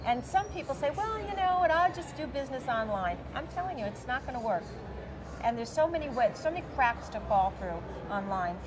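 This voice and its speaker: A woman's voice: high voice, expressive, brisk. A middle-aged woman, an expo organizer answering interview questions with lots of fillers. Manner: talkative, many fillers, gestures while talking. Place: large convention center hall, indoors. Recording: busy convention hall crowd noise. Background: crowd chatter.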